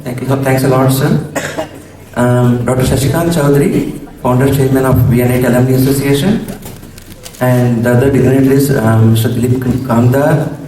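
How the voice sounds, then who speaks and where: A man in his forties from coastal Andhra Pradesh with an Indian English accent, slightly hoarse, giving a hesitant formal vote of thanks at an alumni reunion. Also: medium pitch, steady tone, moderate pace, dark tone. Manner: hesitant, many ums and restarts. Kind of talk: formal public speech with pauses. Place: large hall. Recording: echoey hall mic from far off.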